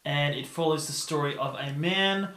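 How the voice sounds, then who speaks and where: A man's voice: a little high, normal speed, background noise. A man co-hosting a podcast, explaining a story in a casual summarising way. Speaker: podcast co-host. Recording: echoey room with music.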